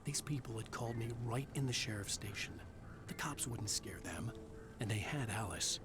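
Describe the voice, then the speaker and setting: An actor playing a brooding male writer who narrates his own thriller gravely. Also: lowish voice, expressive, moderate pace, over music, natural voice. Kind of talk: scripted first-person thriller narration.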